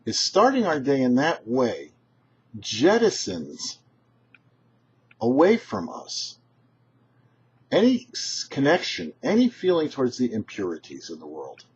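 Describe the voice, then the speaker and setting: Husky man teaching, lively pitch swings. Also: unhurried, long pauses. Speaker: rabbi, show host. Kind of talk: short religious teaching talk. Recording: muffled, dull lo-fi sound.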